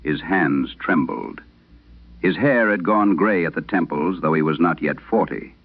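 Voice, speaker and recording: Deep-voiced old priest played by an actor, grave and haunted. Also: male voice, narrow, tinny audio. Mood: haunted, solemn. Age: older. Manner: solemn, measured storytelling. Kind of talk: dramatic scripted horror radio monologue.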